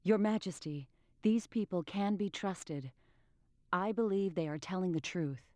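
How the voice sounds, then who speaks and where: An actress playing a calm, loyal servant of a fantasy queen in a video game, respectful, composed and earnest. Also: lowish voice, unhurried, dry recording, studio quality, natural voice. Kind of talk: scripted video game voice acting.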